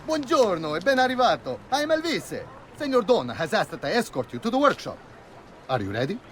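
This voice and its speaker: A man's voice: sits high, sing-song, fairly quick, a bit bright, a little hiss or hum. A man playing a proud Venetian tour guide in a video game, with an Italian accent and a theatrical, effusive manner. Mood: welcoming. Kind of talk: video game character acting.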